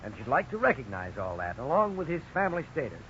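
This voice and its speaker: An actor playing a courteous, formal attorney with a measured manner in a 1950s radio drama. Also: male voice, medium pitch, sing-song, fairly bright tone. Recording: muffled, hissy 1950s radio recording.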